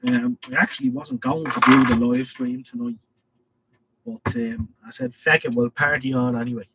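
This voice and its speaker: A man's voice: mid-range voice, big pitch swings, normal speed, vocal fry, fairly bright tone, a little hiss or hum, low-quality recording. A man with an Irish accent and a head cold, hosting a casual live stream and reading out viewer comments in a rambling way. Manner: rambling, reads chat messages aloud.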